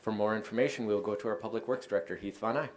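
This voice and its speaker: An American man speaking as a mayor, running formal council procedure in a brisk, businesslike way. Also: on the low side. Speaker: mayor chairing a city council meeting.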